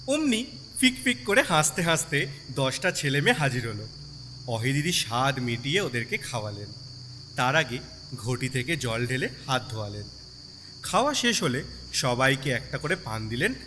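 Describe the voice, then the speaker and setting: A man narrating a story in an expressive, dramatic storytelling style with sympathy in his delivery. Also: medium pitch, moderate pace. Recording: long reverb, background night insect noise.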